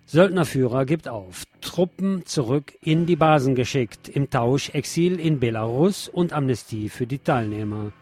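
A man presenting a news programme, reading commentary aloud in a measured, formal way. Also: medium pitch, animated, darkish tone.

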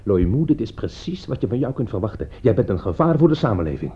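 A man's voice: on the low side, sing-song, quick pace, mellow. An actor playing an indignant, sceptical man of the law in a radio play, scornful and moralizing. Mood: stern, accusing. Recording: dull, muffled old radio play recording.

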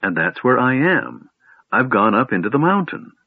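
A man voicing an excitable, curious, childlike male kender in a storybook reading, talking in eager bursts. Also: medium pitch, moderate pace, gravelly, slightly rough, dry recording, voice pushed up, exaggerated voice. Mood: wondering. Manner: breathless, eager exclamations. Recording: dry tinny phone-like audio.

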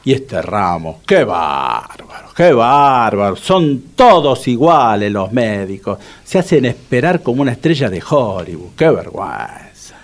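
An actor playing a grumbling, exasperated but soft-hearted cook with an Argentine accent in a comedic radio play. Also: male voice, medium pitch, very animated, a little slow. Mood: grudging sympathy. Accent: Argentine Rioplatense. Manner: exasperated, complaining. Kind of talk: comedic radio theatre acting.

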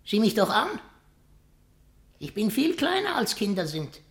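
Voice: mid-range voice, wildly swooping pitch, unhurried. Wry dwarf acrobat, wildly swooping voice. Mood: bitter, agitated. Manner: theatrical, sardonic, shifting between hushed and sharp. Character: stunted dwarf acrobat, proud, wry, world-weary, childlike in size. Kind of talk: 1950s German radio play acting.

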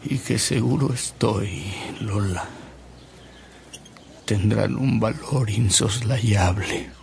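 An actor playing a devout, earnest older man in a Mexican radio drama, speaking solemnly and deliberately with dramatic pauses. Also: on the low side, vocal fry, darkish tone, slight background noise. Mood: solemn, reverent. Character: frail, devout older man, a converted skeptic, reverent and earnest.

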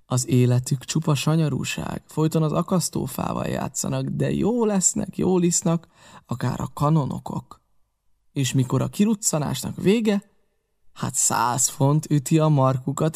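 A man voicing a scheming older male pirate cook who talks in a wheedling, boastful, flattering way, in an audiobook reading. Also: fairly high pitch, creaky. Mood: sly boasting.

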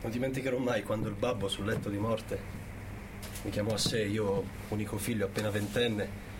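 An actor playing a pompous, self-righteous conservative business owner, sententious and rhetorical, in a satirical theatre monologue. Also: male voice, lowish voice, level tone, moderate pace, background noise, natural voice. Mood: sententious, solemn.

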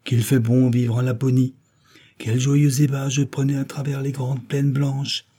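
A man's voice: medium pitch, level tone, unhurried, mellow, pitched above the performer's own voice, natural voice. A man voicing a young reindeer who talks about his snowy homeland in a wistful, eager way.

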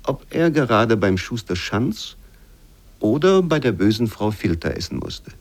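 A man reads old literary prose as a radio narrator, in a measured, formal and expressive way. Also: lowish voice.

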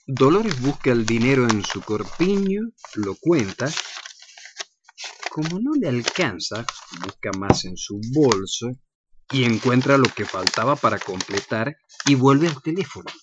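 Argentine man, radio co-host and narrator, mid-pitched and lively, over noticeable noise. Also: a little slow, dull audio. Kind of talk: scripted narration and radio presenting.

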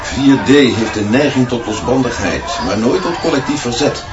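An actor playing a knowing schoolteacher in a radio play, explaining things emphatically. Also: male voice, medium pitch, expressive, moderate pace, natural voice. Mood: knowing, emphatic. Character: male schoolteacher, knowing admirer of the headmaster's system. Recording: distant, echoey, dull, crowd and music behind.

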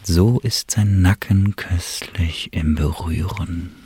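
An actor playing a wary, brooding male secret agent in a radio drama, telling his story in a confiding, dramatic way with a husky, breathy voice. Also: sits low, takes it slowly, warm tone, a little hiss or hum. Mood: seductive, intimate. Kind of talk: German radio drama monologue, intimate storytelling.

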